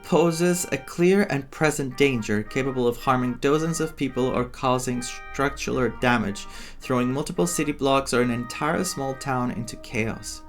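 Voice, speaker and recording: A man's voice: medium pitch, normal speed. A man giving casual playthrough commentary, reading game text aloud and reacting to it, with music behind him. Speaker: gameplay streamer reading and reacting. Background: game music with guitar.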